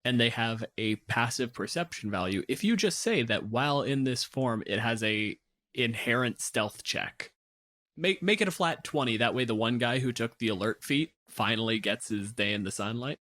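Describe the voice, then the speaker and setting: A man's voice: mid-range voice, animated, moderate pace, fairly bright tone. A man on a discussion podcast, explaining and analysing in a casual, conversational style. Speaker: podcast panelist.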